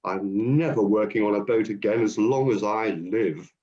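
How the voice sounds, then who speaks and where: A man's voice: fairly low pitch, normal speed, warm tone, natural voice. A man playing Hercules, a keen, overconfident hero looking for work in a comic radio play. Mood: earnest. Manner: cheerfully overconfident, matter-of-fact. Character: Hercules, strong, keen, job-hunting hero. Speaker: job seeker. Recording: dull lo-fi audio.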